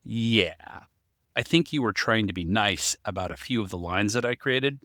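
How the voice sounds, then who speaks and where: A man's voice: lowish voice, lively intonation, moderate pace, fairly bright tone, no echo, clean studio sound. A man co-hosting a casual podcast discussion, talking as the writer of the story. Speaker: podcast co-host, story writer and amateur voice actor.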